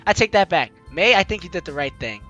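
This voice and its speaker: Bright, very animated woman gaming host. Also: fairly low pitch, fast, lo-fi sound. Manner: animated, chatty reactions to the story. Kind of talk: casual let's play commentary, reading game text aloud. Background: game music.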